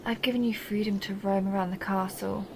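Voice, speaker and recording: A woman's voice: mid-range voice, fairly even intonation, fairly quick, gravelly, background noise, natural voice. A woman playing a seductive, possessive vampire countess who teases and condescends in an intimate audio roleplay monologue. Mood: coaxing, wistful. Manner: teasing, mocking, intimate purring address.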